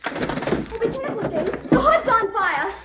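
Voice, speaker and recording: A woman's voice: extremely high pitch, sing-song, unhurried, exaggerated voice. An actress playing an earnest young female assistant in a 1940s radio drama, anxious and breathless. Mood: alarmed. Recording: noisy dull 1940s radio recording.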